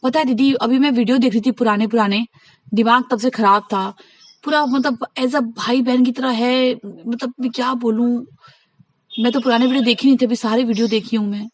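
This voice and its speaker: A woman's voice: a little high, fairly even intonation, normal speed, dry recording, poor audio. A woman in a casual private phone conversation, talking insistently, giving advice and recounting what others said. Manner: insistent, advising, recounts conversations by acting out quotes.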